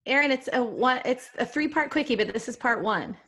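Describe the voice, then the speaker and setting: A woman with a slightly high voice chats casually with her co-hosts. She is playful and joking, quick to laugh and toss in silly sounds. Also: animated, a bit bright. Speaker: podcast co-host. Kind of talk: casual chat.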